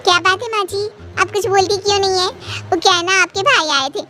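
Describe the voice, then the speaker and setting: A woman voicing a chatty, breezy young daughter-in-law in an animated family story. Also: very high-pitched, fairly quick, faint music behind. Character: chirpy, over-talkative young daughter-in-law.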